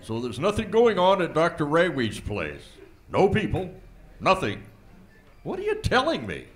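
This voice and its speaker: Casting: man as a folksy sheriff, teasing, very lively voice. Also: on the high side, normal speed. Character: folksy small-town male sheriff, flirtatious.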